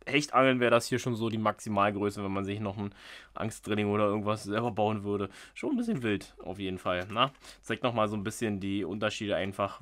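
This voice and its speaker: A man of ordinary pitch talks through a fishing-tackle unboxing at a table indoors. He is chatty and jokey, thinking aloud with plenty of fillers, recorded on an off-camera microphone. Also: a bit bright. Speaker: fishing channel host. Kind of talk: casual unboxing commentary. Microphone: off-camera microphone, top-down camera.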